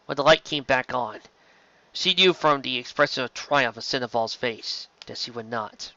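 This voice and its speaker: A man's voice: mid-range voice, normal speed, pushed and bright, no echo, highs cut off. A man reading science fiction aloud as an amateur audiobook narrator, in a dramatic storytelling style.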